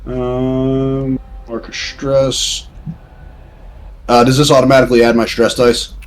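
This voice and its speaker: A man's voice: mid-range voice, level tone, slow, rough recording. A man talking casually as a player in a tabletop role-playing game. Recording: faint background noise.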